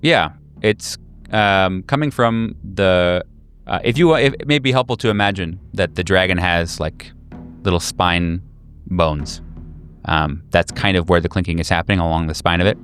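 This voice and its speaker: A man working as game master, improvising tabletop horror narration in a halting, conversational, self-correcting way. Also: low-pitched, a bit bright, soft background music.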